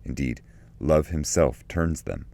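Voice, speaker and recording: A man reading scripted literary commentary and poetry in a measured, solemn, deliberate way. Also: rumbling low, vocal fry, mellow. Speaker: narrator and translator reading his edition.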